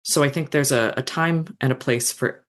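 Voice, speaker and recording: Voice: medium pitch, moderate pace, fairly bright tone. Thoughtful author rambling with fillers. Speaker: guest author. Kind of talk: casual chat.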